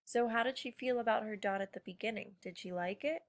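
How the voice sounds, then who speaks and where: A woman's voice: a little high, brisk. A woman teacher talking informally to children, prompting them with questions during a read-aloud lesson. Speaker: elementary school teacher.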